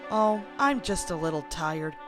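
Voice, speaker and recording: An earnest young male storybook engine, acted by a woman, trying to be brave but jittery. The pitch moves widely and urgently, with music behind it. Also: lively intonation, a little slow. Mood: dismissive, earnest. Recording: music under the voice.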